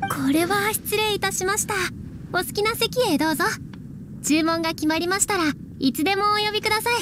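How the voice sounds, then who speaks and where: A woman's voice: very high voice, brisk, noisy background. A woman voicing a polite, earnest young shopkeeper in a fantasy video game, with courteous customer-service speech. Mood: welcoming. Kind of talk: Japanese video game voice acting.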